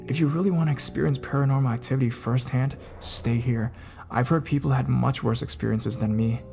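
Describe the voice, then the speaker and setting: A man calmly narrating reader-submitted ghost stories in a measured, scripted way over guitar music. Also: mid-range voice, gravelly. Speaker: narrator of a ghost story channel.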